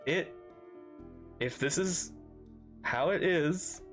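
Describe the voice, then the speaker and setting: A game streamer giving casual, playful reaction commentary, halting and repeating phrases. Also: medium pitch. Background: faint game music.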